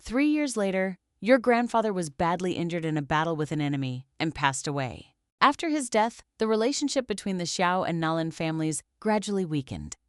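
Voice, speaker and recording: Woman voicing a gentle, clever teenage girl, lively pitch. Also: brisk, clean tone, no room sound, clean studio sound, lightly acted. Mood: calm explaining. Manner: soft, calm, composed. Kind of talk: audiobook fantasy character dialogue.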